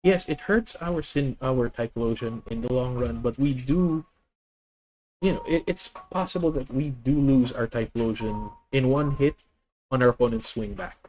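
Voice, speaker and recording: A man's voice: mid-range voice, moderate pace, darkish tone, slight background noise, rough recording. A man with a Filipino accent streaming a card game, methodically thinking aloud and correcting himself as he plans each move. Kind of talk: live deck-test commentary.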